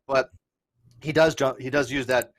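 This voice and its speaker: A man's voice: mid-range voice, steady tone, moderate pace. A man hosting a casual online panel discussion, blunt and opinionated, often repeating words as he starts a thought. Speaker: channel host.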